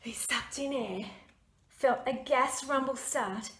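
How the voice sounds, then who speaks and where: A woman's voice: high voice, slow, fairly bright tone, a little hiss or hum. A woman author reading a children's storybook aloud with dramatic, playful expression. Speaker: author reading own book. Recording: roomy echo, music behind.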